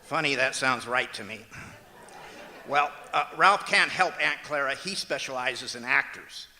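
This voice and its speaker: A man playing a wry, long-suffering husband, the hesitant deadpan straight man in an old-time radio comedy on stage. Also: mid-range voice. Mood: patient, incredulous. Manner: deadpan, halting with hesitant uhs. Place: performing arts center auditorium, live stage. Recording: echoey auditorium stage hall.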